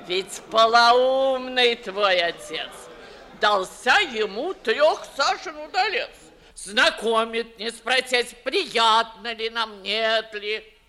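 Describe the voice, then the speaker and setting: Bossy old russian aristocrat aunt, grumbly and slow, voice swoops around, old radio play. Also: female voice, fairly high pitch, fairly bright tone, exaggerated voice. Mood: indignant. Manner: theatrical, declamatory, drawn-out grumbling. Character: imperious grumbling 65-year-old Moscow noblewoman, blunt old aunt. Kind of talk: 1950s Russian classical stage acting.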